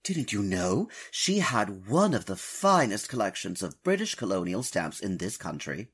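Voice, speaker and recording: An actor playing an urbane, smug gentleman stamp collector with a fussy, precise, affected way of talking, in the style of old-time radio drama. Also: fairly low pitch, big pitch swings, normal speed, breathy edge, heavily acted. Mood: genteel, eager.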